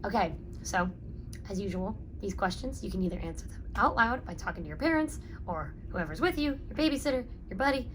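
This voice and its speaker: A woman's voice: medium pitch, expressive, a little fast, a little hiss or hum. A woman reading a children's picture book aloud and speaking to young listeners in an instructive way. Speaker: story reader and reading teacher.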